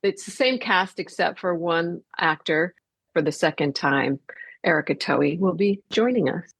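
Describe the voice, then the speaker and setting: Female arts director as talk show guest, low register, conversational, dry sound. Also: expressive, measured pace, vocal fry, breathy edge, no echo. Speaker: interview guest, theater artistic director. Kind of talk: conversational interview.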